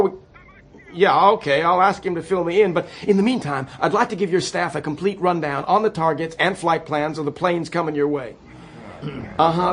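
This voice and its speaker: An actor playing a meek, apologetic American president, hesitant and awkwardly chummy, in a satirical radio play phone call. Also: male voice, high voice, a bit bright, a little hiss or hum.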